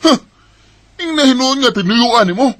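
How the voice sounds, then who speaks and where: A man's voice: very high voice, expressive, moderate pace, fairly bright tone. An actor playing a callous young bachelor who refuses fatherhood, accusing and dismissive, with a Filipino Cebuano accent in a radio drama. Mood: defensive, accusing. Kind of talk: Philippine radio drama acting.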